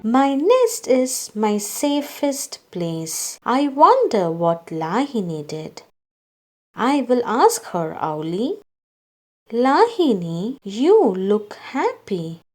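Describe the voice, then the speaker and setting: Female read-aloud storyteller for small children. Very unhurried pacing, animated intonation, scripted character dialogue. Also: fairly high pitch. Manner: slow, deliberate delivery for young children.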